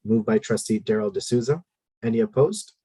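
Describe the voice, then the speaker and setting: A man chairing a formal board committee meeting, leading a prayer and calling items in a measured, procedural way with frequent fillers. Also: fairly low pitch, warm tone, breathy edge, no room sound.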